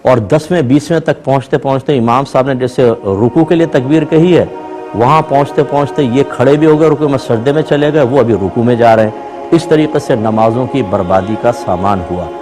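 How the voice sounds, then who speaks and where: A man speaking as an Islamic scholar, answering a religious question in a measured, explanatory way, point by point. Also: mid-range voice, a little mellow, soft background music.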